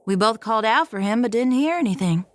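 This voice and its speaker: A woman's voice: on the high side, expressive, fast talker, no room sound, lightly acted. A woman playing a folksy, plain-spoken and adventurous space cargo pilot in an audio drama, talking informally like a storyteller. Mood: concerned, matter-of-fact.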